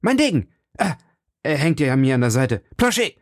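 A man's voice: on the low side, big pitch swings, normal speed, vocal fry, darkish tone, breathy edge, no room sound, clean studio sound, exaggerated voice. A man voicing a bold, hot-headed young male swordsman, impulsive and commanding. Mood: urgent.

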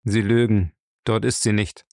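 A man voicing a grim, brutal captain, curt, gruff and threatening, in an audiobook. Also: fairly low pitch, level tone, a little slow, a little mellow, dry recording, clean studio sound. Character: grim, brutal captain, a menacing male interrogator. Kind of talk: audiobook character acting.

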